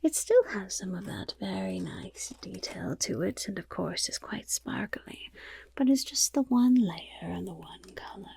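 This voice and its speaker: A woman voicing a friendly female goblin rogue in an ASMR fantasy roleplay, with a breathy, raspy whisper and gently encouraging, genteel phrasing. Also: fairly low pitch, sing-song, unhurried, heavily acted. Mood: helpful, musing.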